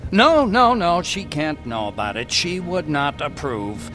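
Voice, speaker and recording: An actor playing an eccentric, talkative scientist and hacker in a video game cutscene, eager, blunt and given to explaining. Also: male voice, on the high side, very animated, a little slow, sharp tone, faint music behind, heavily acted. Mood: urgent, conspiratorial.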